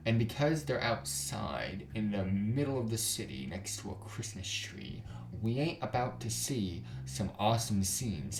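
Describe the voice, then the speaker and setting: A man's voice: lowish voice, background noise. A man reading a visual novel aloud as a gaming YouTuber, with dramatic delivery and his own commentary. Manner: dramatic reading, switches into character voices. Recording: roomy echo over guitar music. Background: guitar music.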